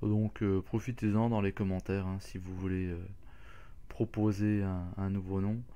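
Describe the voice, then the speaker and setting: A man's voice: sits low, little pitch movement, moderate pace, dark tone, dull audio. A man hosting a casual game walkthrough, talking hesitantly with many fillers and restarts. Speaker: gaming channel host.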